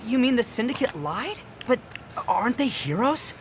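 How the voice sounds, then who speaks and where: A man's voice: very high-pitched, sing-song, moderate pace, slight background noise. A man voicing an eager, naive young sidekick in a video game, wide-eyed and anxious. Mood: puzzled. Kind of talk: animated video game acting.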